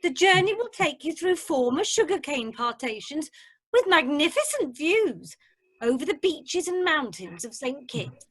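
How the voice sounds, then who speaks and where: A woman's voice: high-pitched, very animated, measured pace, no echo, rough recording. A woman playing an eager, chatty cruise passenger in an amateur radio drama. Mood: cheerful, chatty. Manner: eager, sociable. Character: chatty, sociable woman passenger from the Welsh valleys.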